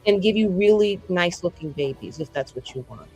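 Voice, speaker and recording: A woman's voice: on the low side, lively intonation, brisk, darkish tone, breathy edge, faint music behind, low-quality recording. A woman voicing a brash, headstrong warrior lover in a tabletop game, bawdy and playful but earnest. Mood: teasing, tender.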